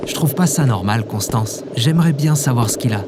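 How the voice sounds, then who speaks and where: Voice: medium pitch, animated, a little fast, loud music behind the voice, close to an everyday voice. An actor playing a hapless, defensive man in an audio drama, an informal and grumbling worrier. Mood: worried. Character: hapless, defensive male archive keeper, affectionate worrier. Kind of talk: scripted audio drama acting.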